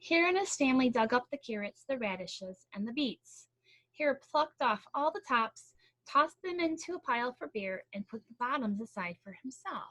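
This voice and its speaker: A woman's voice: a little high, expressive, moderate pace, pushed and bright, no echo, poor audio. A woman reading a picture book aloud expressively, with casual explanatory asides and questions. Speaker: storybook reader.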